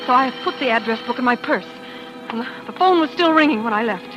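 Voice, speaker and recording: An actress playing an anxious, devoted wife in a 1940s radio suspense drama, fretful and dramatic. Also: female voice, on the high side, expressive, fast, lo-fi sound, lightly acted. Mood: tense, brooding. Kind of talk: 1940s radio suspense acting, first-person narration and dialogue. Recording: old radio drama with music behind.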